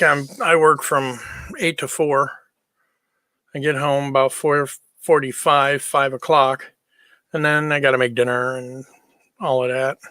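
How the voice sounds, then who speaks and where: A man's voice: mid-range voice, sharp tone, dry recording. A man chatting casually on a livestream, easygoing and informal as he replies to viewers. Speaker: livestream host.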